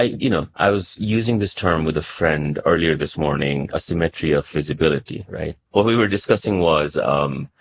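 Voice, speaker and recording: A man's voice: very deep voice, husky, dry recording, lo-fi sound. A man from Nepal speaking as a journalist in an interview, explaining things reflectively and conversationally, often checking in with "right?" and "you know".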